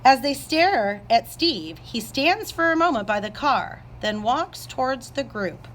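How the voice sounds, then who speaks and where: A woman's voice: sits high, expressive, a little slow, fairly bright tone, a little hiss or hum. A woman narrator reading scripted stage directions aloud.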